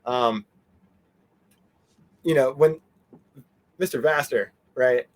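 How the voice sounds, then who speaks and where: A man giving a casual interview as a guest, animated and meandering, often trailing off mid-sentence. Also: mid-range voice, slow pace. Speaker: interview guest, fan convention organizer. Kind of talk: casual rambling interview chat.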